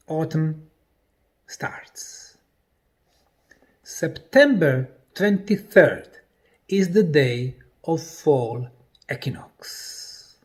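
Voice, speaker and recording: A man delivering a scripted documentary presentation in deliberate, emphatic phrases broken by pauses. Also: a little high. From Bosnia.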